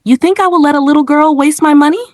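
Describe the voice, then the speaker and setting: Woman acting a cruel, scolding stepmother, raised pitch. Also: fast, no room sound, studio quality, natural voice. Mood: angry contempt. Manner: hissing, scolding. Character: cruel, bullying stepmother, contemptuous and demanding.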